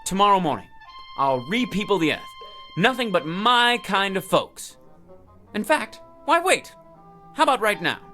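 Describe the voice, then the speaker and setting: Fussy, pompous misanthrope, swooping pitch. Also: male voice, big pitch swings, unhurried, pauses often, bright, forceful tone, soft background music, exaggerated voice. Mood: excited, scheming. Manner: pompous, fussy, muttering to himself. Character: fussy, misanthropic, lonely male office worker, bored and self-satisfied. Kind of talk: theatrical audio drama acting.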